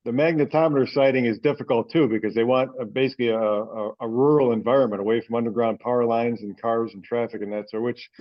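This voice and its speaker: A man's voice: mid-range voice, steady tone, quick pace, hardly any pauses. A man hosting an online meeting in a casual, informal, rambling way, heard over a conference call. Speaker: meeting host. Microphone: teleconference call. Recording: dull lo-fi conference call audio.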